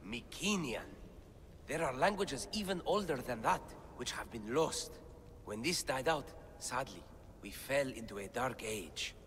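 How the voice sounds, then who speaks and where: Eager young scholar, animated, echoing. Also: male voice, medium pitch, unhurried, slight background noise. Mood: thoughtful, explanatory. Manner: eager, bookish, explanatory. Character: earnest, scholarly young man deciphering ancient texts. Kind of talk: video game cutscene acting.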